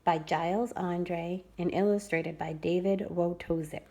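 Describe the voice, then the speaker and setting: A woman preschool teacher reading a rhyming picture book aloud to children with expressive storytelling. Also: lowish voice, a little slow. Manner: expressive, sing-song storytelling.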